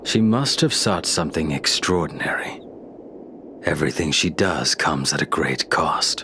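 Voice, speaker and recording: An actor playing a grave, weary swordsman in a video game, speaking in a solemn, halting, hushed way. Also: very deep voice, animated, background noise. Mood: grave, reflective. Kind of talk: dramatic video game voice acting. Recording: music under the voice.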